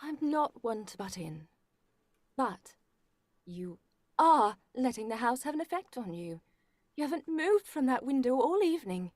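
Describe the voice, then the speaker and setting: A woman's voice: high voice, big pitch swings, a little slow, darkish tone, dry recording, studio quality, exaggerated voice. A woman playing a fretful, deferential household servant, theatrical, in an old-time radio horror play. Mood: concerned.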